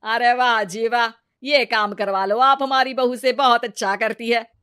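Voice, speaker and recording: A woman playing a sharp-tongued, sarcastic Indian mother-in-law in a comedy story, taunting and complaining melodramatically. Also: fairly high pitch, steady tone, measured pace, clear, resonant tone, no room sound, clean studio sound. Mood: bitter complaining. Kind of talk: exaggerated comedy story acting.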